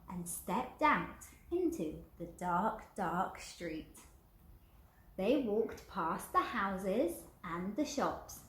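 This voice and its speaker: Lady reading a kids picture book, really slow and super animated, roomy echo. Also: medium pitch. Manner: animated, deliberate, drawn-out repeated words. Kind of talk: expressive children's story read-aloud. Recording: roomy, echoing indoor sound.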